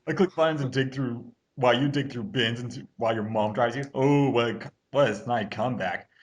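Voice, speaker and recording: A man hosting a casual rebuttal commentary, speaking in a halting, dismissive and slightly snide way. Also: mid-range voice.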